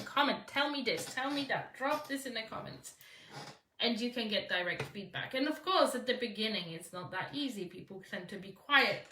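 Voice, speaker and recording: Polish-accented woman, young, business coach live-streaming; bright, higher voice, encouraging, echoey room. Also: far from the mic, slight background noise. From Poland. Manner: encouraging, reads out viewer comments, frequent "right?". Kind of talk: live-stream talk to viewers.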